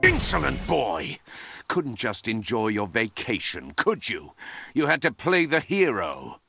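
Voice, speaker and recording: A man's voice: medium pitch, very animated, a little slow, vocal fry, sharp tone, slightly rough, dry recording, full character voice. A man voicing an arrogant, vengeful evil magician in a cartoon video game, with grandiose, theatrical ranting. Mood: scornful. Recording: music under the voice.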